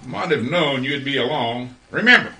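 An actor playing a pompous, bossy, blustering postmaster in a radio play. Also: male voice, mid-range voice, animated, normal speed, sharp tone, slight background noise. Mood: scornful. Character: pompous, bossy male postmaster scolding his underling. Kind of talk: community radio play acting.

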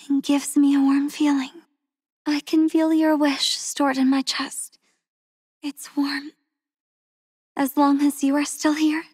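A woman voicing a gentle, devoted young woman soldier in a game, speaking softly and tenderly with frequent breathy whispers, recorded on a close studio mic. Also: high voice, dry recording. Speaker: voice actress for a game character. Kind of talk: game character voice lines.

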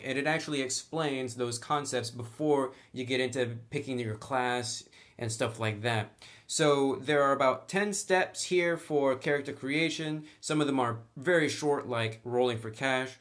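Casual man giving a chatty tutorial. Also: medium pitch. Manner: chatty, informal, slangy. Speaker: host of a tabletop game tutorial channel. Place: indoors at a tabletop.

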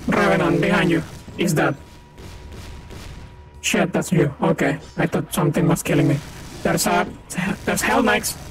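A man's voice: on the high side, moderate pace, pauses often, heavy background noise. A man with a Venezuelan accent from Maracaibo, a native Spanish speaker, chatting casually while streaming a shooter game with a friend, laughing often and calling out enemies. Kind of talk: casual co-op gaming chat. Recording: game music playing loudly behind. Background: game music.

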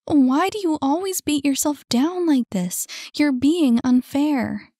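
A caring young woman, as a female reader puts her on, lifts her voice well above the narration and presses softly and earnestly. Her words come slowly and deliberately, and the recording is dry and close. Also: sits high, no echo, pitched above the performer's own voice. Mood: pleading. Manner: soft, pleading, concerned.

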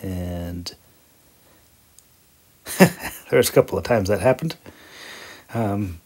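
A man's voice: deep voice, slow pace, a little mellow, slightly rough. A man giving a casual, rambling vlog voice-over in a hesitant, self-deprecating, chuckling way. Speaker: video creator and hobby audiobook narrator.

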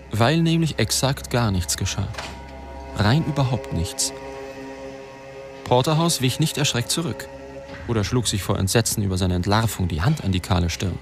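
An actor in a German radio drama playing a sceptical male reporter, measured and wry in dialogue and narration. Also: medium pitch, lightly acted. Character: probing, sceptical male reporter telling his own story. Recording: music behind the voice.

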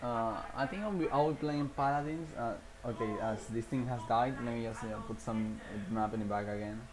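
A man's voice: medium pitch, fairly quick, a crowd in the background, low-quality recording. A man chatting casually on a livestream as a gaming streamer, rambling and hesitant and often repeating himself.

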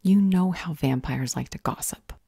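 Casting: female actor as caring vampire healer and mentor, calm and earnest; deep for a woman, close dry audio roleplay sound. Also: vocal fry, husky, no room sound, full character voice. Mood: patient, evasive.

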